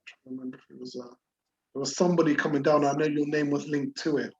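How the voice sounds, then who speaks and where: A man's voice: on the high side, steady tone, quick pace, darkish tone. A man with a Bristol accent hosting a podcast, asking rambling questions with many restarts. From England. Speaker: podcast host. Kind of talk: casual interview chat. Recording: dull lo-fi podcast audio with faint noise.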